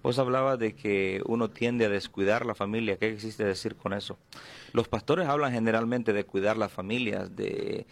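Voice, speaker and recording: A man's voice: lowish voice, moderate pace, vocal fry. A man interviewing a guest, asking questions and chiming in with short agreements that complete the guest's thoughts. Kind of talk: conversational interview.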